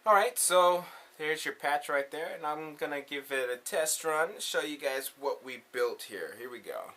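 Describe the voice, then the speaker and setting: A man's voice: a little high, lively intonation, a little slow, sharp tone. A man hosting a casual hands-on tutorial, talking in an informal, slangy way. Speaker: tutorial host.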